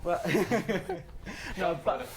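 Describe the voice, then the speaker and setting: A man arguing football as a pundit in a heated, loud debate, pushing his points hard. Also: high-pitched, lively intonation, drawn-out words, slight background noise. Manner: argumentative, loud, talks over others. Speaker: Chelsea fan pundit.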